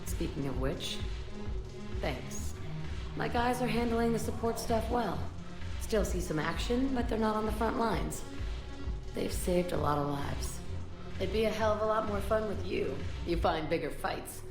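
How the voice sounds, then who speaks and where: A woman's voice: medium pitch, animated, measured pace, reverberant, over music. A woman playing a tough, sardonic young fighter in a video game, blunt and grumbling. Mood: grudgingly fond. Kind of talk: video game cutscene acting.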